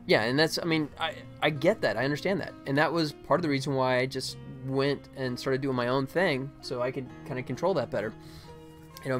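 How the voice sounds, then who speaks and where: An American man chats casually to his livestream viewers while he works. His voice sits at an average male pitch, and his delivery is informal and conversational. Music plays faintly behind him. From United States, Atlanta. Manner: informal, chatty, addresses viewers by name. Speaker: game developer and livestream host.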